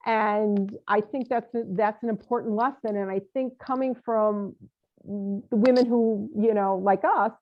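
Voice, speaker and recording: A woman's voice: mid-range voice, fairly even intonation, a little slow. A woman hosting an interview, encouraging and enthusiastic, with many fillers. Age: older. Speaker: podcast host. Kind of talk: casual interview chat. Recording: tinny phone-like line.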